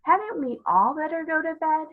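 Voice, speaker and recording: Woman putting on a timid, high little girl. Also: animated, moderate pace, narrow, tinny audio. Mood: worried.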